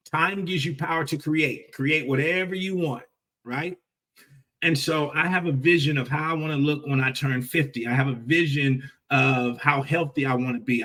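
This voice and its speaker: A man's voice: mid-range voice, fairly bright tone, distant mic. Emphatic man in his forties, repeating points. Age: 40s. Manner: repetitive, emphatic, with rhetorical "right?" tags. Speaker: host. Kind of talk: motivational livestream talk.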